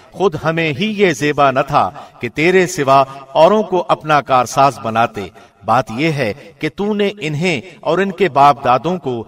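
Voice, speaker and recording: A man reading a scripture translation as a scripted narrator, in a formal, measured radio presenter's manner. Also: a little high, a bit bright.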